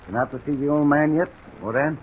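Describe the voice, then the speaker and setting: An American man playing a gruff, matter-of-fact police detective in an old-time radio drama. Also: on the high side, a little fast, muffled recording, lightly acted. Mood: businesslike. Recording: old radio recording, faint crackly hiss.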